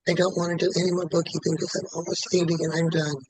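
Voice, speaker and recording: A man in his late seventies, a client in a tapping session, who repeats one statement over and over in an even rhythm. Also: on the high side, fast, dry recording, rough recording. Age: 70s.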